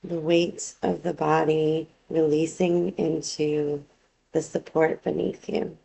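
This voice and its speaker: A woman leads a guided relaxation as a yoga nidra teacher. Her voice sits fairly low and carries a strong creaky fry. She speaks very slowly and calmly, giving gentle instructions in unhurried phrases. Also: poor audio.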